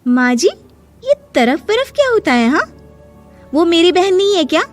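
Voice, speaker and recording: A woman playing a sharp-tongued, defiant young daughter-in-law in a cartoon story, arguing with exaggerated indignation. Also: extremely high pitch, very animated, moderate pace, soft background music, pitched above the performer's own voice. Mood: indignant. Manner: argumentative, exaggerated indignant delivery.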